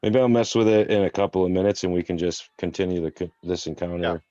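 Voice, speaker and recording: A man's voice: low-pitched, fast talker, mellow. A man chatting casually as a player in a tabletop roleplaying game over an online call. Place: indoors on an online group call. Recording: muffled, boxy online call with room echo.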